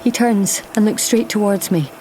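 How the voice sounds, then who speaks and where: A woman playing a proud, lonely, cursed young daughter of a goddess in an audio drama, speaking in a measured, hushed, solemn way. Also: medium pitch, soft background music, natural voice, rough recording. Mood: hushed wonder. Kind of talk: audio drama acting with first-person narration.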